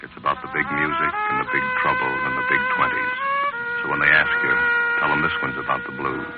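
A man's voice: a bass voice, huge pitch swings, brisk, exaggerated voice. A man announcing a 1950s radio show in a solemn, punchy, dramatic style. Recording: muffled old radio, loud music behind.

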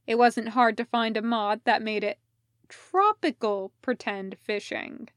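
Lady reading fan fiction out loud, takes her time, measured, really dry sound. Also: on the high side, fairly even intonation, no echo. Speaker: podfic reader. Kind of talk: scripted narration of fan fiction audiobook.

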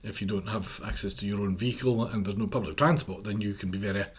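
A man's voice: on the low side, steady tone, fast talker, husky. A man, speaking earnestly as a guest on a podcast and often restarting his sentences while he gives advice. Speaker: mental health charity policy director.

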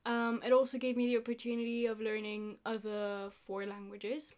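A young woman, a native Italian speaker from Germany, chatting as a podcast guest, laughing often and trailing off softly at the ends of her thoughts. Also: on the high side, completely flat delivery, moderate pace. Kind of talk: casual chat.

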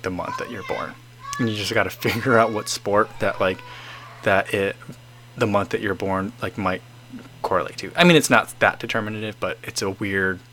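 A man's voice: mid-range voice, a bit bright, slight background noise. A man in his forties casually chatting as host, reading out viewer comments and rambling with many restarts. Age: 40s.

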